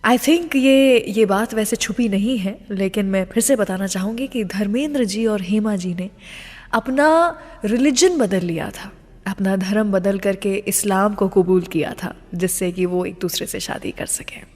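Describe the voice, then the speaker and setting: A woman's voice: medium pitch, unhurried, a little mellow, big-room sound. An Indian woman presenting a radio show in a chatty, storytelling way, dropping English phrases into her Hindi.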